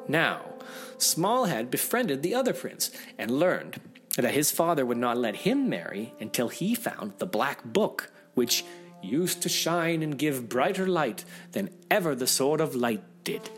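A man's voice: medium pitch, sing-song, unhurried, faint music behind. A man reading a fairy tale aloud as a storyteller, with dramatic, theatrical expression.

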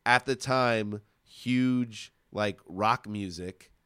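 A man chatting casually and enthusiastically as co-host of a music podcast, informal and quick to swear. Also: fairly low pitch, pushed and bright, smooth, dry recording, studio quality. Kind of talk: casual chat. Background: pop-rock songs playing.

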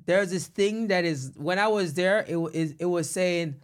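Higher-voiced man chatting casually. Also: moderate pace. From Jamaica. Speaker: host of a discussion with friends. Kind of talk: casual conversational discussion.

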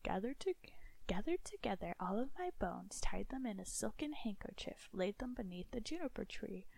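A woman voicing a proud magical male songbird in a storybook reading, high and insistent. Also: expressive, moderate pace, gravelly, a little mellow, low-quality recording. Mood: sing-song.

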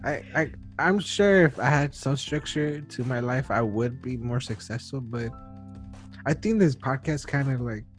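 A man's voice: medium pitch, unhurried, soft background music. A man in his early thirties hosting a podcast, talking casually and rambling with lots of fillers and restarts. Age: 30s. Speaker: podcast host. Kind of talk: casual chat.

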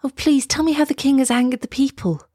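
A woman's voice: on the high side, fairly quick, mellow, no room sound, studio quality, pitched above the performer's own voice, natural voice. A woman voicing an earnest, polite young girl who speaks with pleading emotion in a children's storybook. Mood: eager. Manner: pleading, exclamatory.

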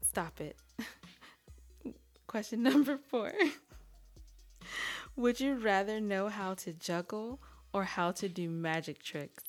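A woman's voice: mid-range voice, takes it slowly, long pauses, faint music behind. A woman from California with an American accent, hosting a casual podcast with playful, teasing banter. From California, United States. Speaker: podcast host asking questions.

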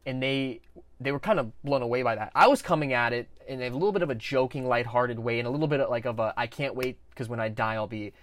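A man hosting a podcast, talking candidly and quietly, with frequent stuttered repetitions. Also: medium pitch, fast, no room sound. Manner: stutters with sound repetitions, soft and confessional. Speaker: podcast host.

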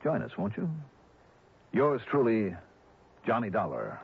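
An actor playing a hardboiled, wry American private investigator in a mid-century radio detective drama, cool and clipped. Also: male voice, lowish voice, wildly swooping pitch, unhurried, gravelly, breathy edge, full character voice. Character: hardboiled, quick-witted male private investigator. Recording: muffled old radio broadcast with music.

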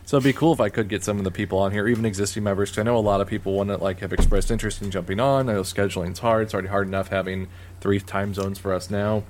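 A man from Missouri co-hosting a podcast in casual chat, talking in a fairly flat, monotone way with lots of "like". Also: on the low side, rapid-fire, hardly any pauses, slight background noise. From Missouri, USA. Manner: monotone, heavy use of "like". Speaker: podcast co-host.

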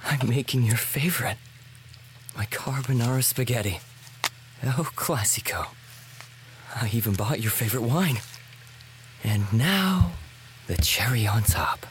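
An actor playing an unstable captor, slow and deliberate, voice lurching from hushed to shrieking, music behind. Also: male voice, mid-range voice, lively intonation, a little hiss or hum, natural voice. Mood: tender. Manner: swings from tender murmurs to screaming rage. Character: obsessive, volatile male captor. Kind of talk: horror monologue acting.

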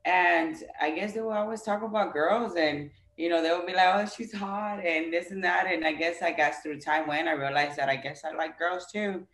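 A woman's voice: lowish voice, moderate pace, hardly any pauses, fairly bright tone. A woman guest on an interview podcast, talking casually and animatedly, acting out what other people say and leaning on "like" and "you know". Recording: echoey room.

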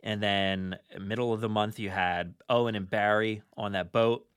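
Man, casual podcast host, animated, enthusiastic, rambling conversational delivery, close dry sound. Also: lowish voice, moderate pace, smooth, no echo. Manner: animated, filler-heavy, acts out his own reactions.

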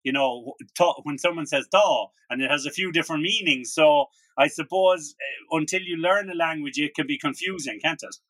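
A man conducts a relaxed interview, often doubling his words as he works toward each question. His voice sits a little high and sounds bright. The recording is dry, with no room sound. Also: normal speed, hardly any pauses, dry recording, rough recording. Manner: hesitant, repeats words while asking questions. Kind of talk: casual interview.

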